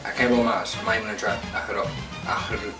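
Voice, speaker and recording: A Puerto Rican man hosting a home cooking show, walking through a recipe step by step in a relaxed, chatty way. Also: fairly low pitch, fast talker. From Puerto Rico. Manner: laid-back, chatty step-by-step instructions. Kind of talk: casual cooking demonstration. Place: home kitchen. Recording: distant mic under loud music.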